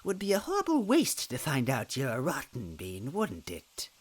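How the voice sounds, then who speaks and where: A man's voice: mid-range voice, wildly swooping pitch, moderate pace, voice pushed up. A man voicing a cruel scheming old female bean witch in a storybook reading, sneering and swinging from hisses to screams. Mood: menacing. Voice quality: raspy, cackling. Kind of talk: storybook reading with character voices.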